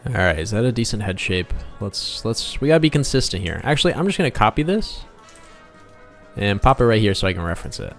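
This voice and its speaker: Low-voiced man chatting casually on a livestream. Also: sing-song, brisk, faint music behind. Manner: rambling, playful, talking to himself. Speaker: game developer and livestream host. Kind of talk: casual livestream chat while drawing, reading out viewer comments.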